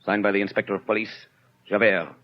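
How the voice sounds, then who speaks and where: An actor playing a stern, duty-bound police inspector in a 1930s radio drama, speaking formally and insistently. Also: male voice, fairly low pitch, steady tone, fast, natural voice. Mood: coldly formal. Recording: dull 1930s radio recording.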